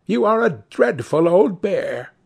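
A male reader acts out an affectionate young woman from a novel. The voice is pitched well up and a little breathy-rough, girlish and gushing, full of playful eagerness. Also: big pitch swings, slow, darkish tone, heavily acted. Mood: teasing.

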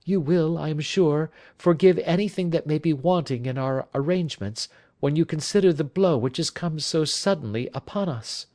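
A man's voice: on the high side, normal speed, a little mellow, voice pushed up, natural voice. A man voicing an anxious, earnest married woman in an audiobook, speaking politely and directly. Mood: relieved, gracious. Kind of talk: audiobook character voice.

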